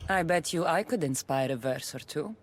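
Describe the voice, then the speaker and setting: An actress voicing a bold, wry mercenary warrior in a video game, confident and teasing. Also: female voice, sits low, lively intonation, moderate pace.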